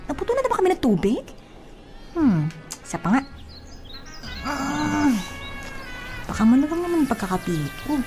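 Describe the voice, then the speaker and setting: Woman acting a playful young housemaid, high voice, very animated swoops, Filipino accent, teasing radio-drama delivery. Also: normal speed, pauses often, music in the background. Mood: puzzled, fussing. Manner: teasing, exclaiming, talking to herself.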